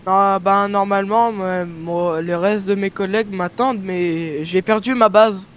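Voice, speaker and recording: A man's voice: high-pitched, fairly even intonation, a little slow, fairly bright tone, slight background noise. A man improvising in a game roleplay, talking in a hesitant, pleading, clownish way. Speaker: gamer playing a stranded police officer.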